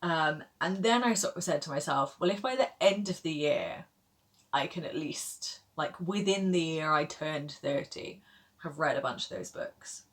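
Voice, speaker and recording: Low-voiced chatty woman in her thirties. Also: measured pace. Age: 30s. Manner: chatty, rambling, frequent fillers and self-deprecating laughs. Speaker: book vlogger. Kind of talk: casual chat to camera.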